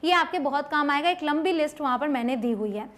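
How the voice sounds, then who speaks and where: High-voiced woman teaching spoken English. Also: expressive, fairly bright tone. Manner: explains step by step, says English model phrases slowly and clearly; keeps checking in with the viewer. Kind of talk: online spoken-English lesson.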